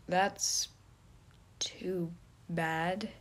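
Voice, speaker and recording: A woman voicing a guarded, weary younger man with a dry, low-key manner in an audio story. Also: fairly even intonation, drawn-out words. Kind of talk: fan fiction audio story character.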